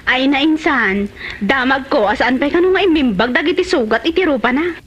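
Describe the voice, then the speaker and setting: A woman's voice: high voice, animated, fairly quick, a bit bright, muffled recording, soft background music. A woman with an Ilocano Filipino accent playing an inquisitive village woman in a radio comedy drama. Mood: concerned.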